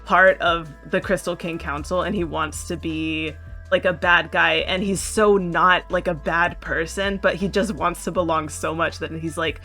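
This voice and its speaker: A man with a bright, forward voice on the higher side talks casually as a fan podcast panelist. Music plays behind him. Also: steady tone, normal speed.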